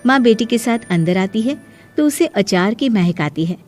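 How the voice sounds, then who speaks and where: Woman narrator with a high voice. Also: expressive, normal speed, darkish tone, soft background music. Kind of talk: scripted story narration.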